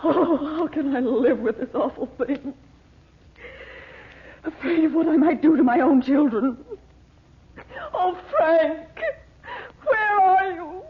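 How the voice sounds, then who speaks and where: A woman's voice: high voice, lively intonation, takes it slowly, long pauses, slight background noise. An actress playing a frightened, anguished mother in a 1940s radio drama, with an emotional, pleading manner. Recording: muffled old radio recording.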